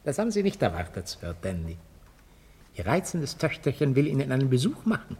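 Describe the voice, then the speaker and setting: An actor playing a mockingly courteous, sadistic gangster boss in a 1950s German radio thriller parody. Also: male voice, mid-range voice, wildly swooping pitch, a little mellow. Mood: gloating. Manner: silky mock politeness, drawn-out menace. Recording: reverberant old radio studio, faint hiss.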